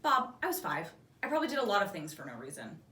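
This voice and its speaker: A woman's voice: medium pitch, sing-song, quick pace, bright, forceful tone. A woman voicing a curious, playful child in a children's storybook. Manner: questioning, playful. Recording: roomy echo, distant microphone.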